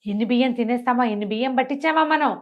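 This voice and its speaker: ఒక స్త్రీ అభినయించే ఆశ్చర్యపోయే పెద్దాయన, ప్రశ్నల మీద ప్రశ్నలు. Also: female voice, a little high, very fast, highs cut off, natural voice. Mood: bewildered. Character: bewildered older father who regained his wits, astonished.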